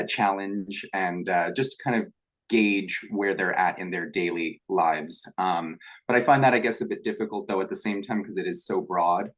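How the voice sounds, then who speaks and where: A young man, a graduate student, speaking hesitantly with lots of ums in a video call discussion. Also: low voice.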